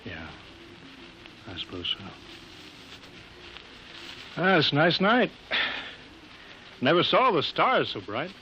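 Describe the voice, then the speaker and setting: An actor playing a steady, somber commander in a 1950s radio drama. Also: male voice, fairly high pitch, slow, pauses often, exaggerated voice. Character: steady, somber male base commander, wearily reassuring. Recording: muffled, hissy old radio recording.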